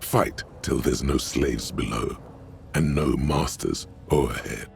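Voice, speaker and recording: A man voicing a detective's inner thoughts as a calm, authoritative, bass-heavy game narrator. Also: slow, vocal fry, faint music behind, heavily acted. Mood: solemn. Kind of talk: scripted video game narration.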